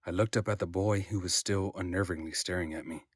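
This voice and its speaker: Low, husky male audiobook narrator. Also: fairly even intonation, fairly quick, no echo, studio quality. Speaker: voice-over narrator.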